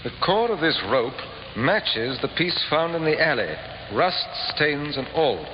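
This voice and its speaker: A shrewd male police inspector, rather high-voiced and swooping in pitch. He probes politely and formally, as in 1950s radio drama, with a muffled old sound. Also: sing-song, unhurried, in a large hall, background noise. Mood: courteous, shrewd.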